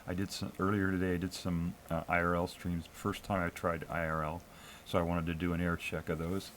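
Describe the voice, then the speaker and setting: A low-pitched American man talks off the cuff to a livestream. He is hesitant and halting, feeling his way through each thought. The audio has clear background noise. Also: flat delivery, fairly bright tone. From New England, US. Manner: hesitant, halting, self-critical. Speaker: amateur live streamer. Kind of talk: casual unscripted solo livestream chat.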